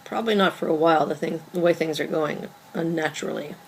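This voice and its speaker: A woman's voice: low-pitched, fast, a little hiss or hum, low-quality recording. A woman reading as a blunt, guarded girlfriend. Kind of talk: table read of a two-person stage play.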